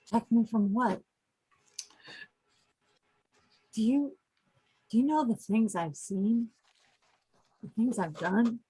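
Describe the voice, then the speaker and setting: A woman playing a firm, guarded fighter under siege in a horror script reading, with a husky voice. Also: on the high side, animated, unhurried, dark tone, exaggerated voice, rough recording. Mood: intense.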